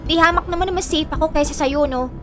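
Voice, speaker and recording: A woman's voice: high voice, fast talker, music in the background, close to an everyday voice. A woman playing a sassy, unbothered young sister in a Filipino audio drama, teasing and flippant.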